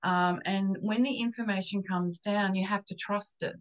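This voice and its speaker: A woman with an Australian accent chatting casually as an interview guest, halting as she searches for words. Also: medium pitch, steady tone, low-quality recording. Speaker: interview guest, psychic channeler and Reiki therapist.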